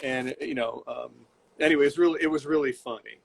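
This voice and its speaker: A man's voice: mid-range voice, a little fast, creaky, breathy edge. An older man, a bootmaker, chatting casually in an interview over a video call, rambling and restarting his sentences. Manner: rambling, repeats words and restarts. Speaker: bootmaker and shop owner. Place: home workshop.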